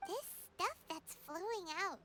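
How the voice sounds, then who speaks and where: A woman voicing a tiny, curious, childlike fairy companion with a squeaky voice in a video game. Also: animated, a little slow, heavy background noise. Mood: puzzled, uneasy. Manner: refers to self in third person, inquisitive. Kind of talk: video game character acting. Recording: loud music behind.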